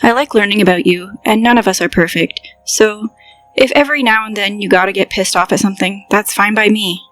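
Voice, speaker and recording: A woman's voice: mid-range voice, brisk, a bit bright, no echo, faint music behind, close to an everyday voice, poor audio. An actress playing a kind young woman gamer who speaks sincerely and reassuringly.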